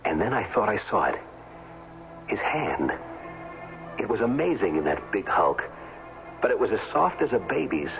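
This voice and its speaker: Low-voiced, tough radio hero. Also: male voice, big pitch swings, normal speed, gravelly, pauses often, faint music behind. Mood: tense, determined. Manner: plain-spoken, hard-boiled. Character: defiant retired football player, tough everyman narrator. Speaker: first-person narrator and lead. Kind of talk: 1970s radio drama acting. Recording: very muffled 1970s radio recording.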